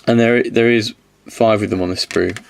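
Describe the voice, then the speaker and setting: A man with a slight cold-hoarse voice, casually reviewing miniatures, rambling and correcting himself as he goes. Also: on the low side, steady tone, moderate pace, slight background noise. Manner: rambling, self-correcting, counts aloud.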